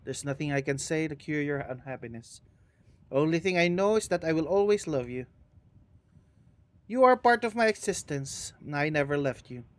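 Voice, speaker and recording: A man's voice: on the high side, expressive, normal speed, close to an everyday voice. A man reading aloud the farewell letter of a dead wife, in a tender, consoling manner. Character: dying young wife writing a farewell letter.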